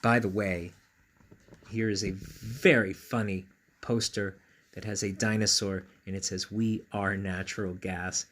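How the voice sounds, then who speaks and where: A man reading a picture book aloud to children in a playful, expressive way, with chatty asides to his young listeners. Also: on the low side, a little slow, long pauses.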